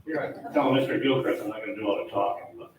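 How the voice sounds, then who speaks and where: A man, older, a town board official talking loudly and rambling at a public meeting. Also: fairly low pitch, quick pace. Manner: loud, chatty, rambling. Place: town hall meeting room. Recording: echoey hall, distant mic, faint noise.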